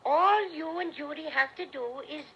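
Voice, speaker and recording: A cheerful little teddy bear with a gravelly Irish lilt. The voice sits high and rough, and it comes through a dull, lo-fi old radio recording. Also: male voice, normal speed, a bit bright, slight background noise, full character voice. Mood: friendly. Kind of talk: 1930s radio play acting.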